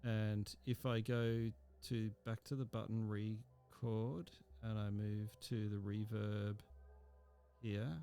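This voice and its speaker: A man teaching a music software tutorial, thinking aloud step by step with frequent ums. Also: on the low side, steady tone, slow. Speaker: music technology instructor. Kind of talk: screen-recorded software tutorial.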